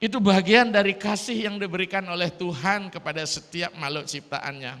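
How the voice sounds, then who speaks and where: High, bright Sulawesi-accented priest preaching. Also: male voice, moderate pace. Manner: lively preaching mixed with English phrases. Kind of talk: dharma talk sermon. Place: large hall at a temple gathering. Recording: long reverb of a hall.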